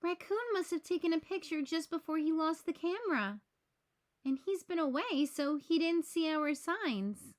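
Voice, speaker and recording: A woman's voice: very high voice, animated, normal speed, voice pushed up. A woman voicing an earnest, conscientious young boy animal in a children's storybook, with an eager, childlike manner.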